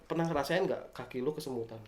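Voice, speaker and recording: A man's voice: mid-range voice, fast, a little mellow, echoey room. A young man with a Jakarta accent in a casual comedic podcast chat, explaining things in a playful, mock-earnest way as a guest. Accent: Jakarta Indonesian.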